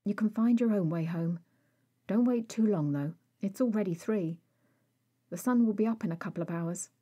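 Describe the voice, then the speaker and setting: A woman voicing an exasperated, protective male vampire in an audio story, scolding in a clipped, often hushed way. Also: medium pitch, moderate pace, slightly rough, close to an everyday voice. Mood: stern.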